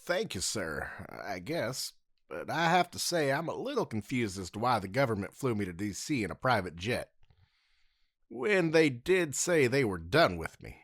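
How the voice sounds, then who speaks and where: A man's voice: mid-range voice, very animated, moderate pace, fairly bright tone, no echo, studio quality. A man playing a polite, hesitant former ranger turned civilian in an audio drama. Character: reluctant muscular male ex-ranger.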